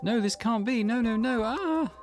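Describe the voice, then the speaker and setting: Man voicing a perky, high-pitched teasing young woman. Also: expressive, moderate pace, soft background music. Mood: panicked. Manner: playful, eager. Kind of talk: visual novel character read-aloud.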